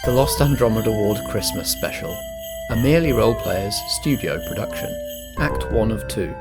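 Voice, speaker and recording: A man hosting a role-play podcast as compere, with a measured, theatrical scripted delivery. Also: fairly low pitch, expressive, loud music behind the voice. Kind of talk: scripted narration and introduction.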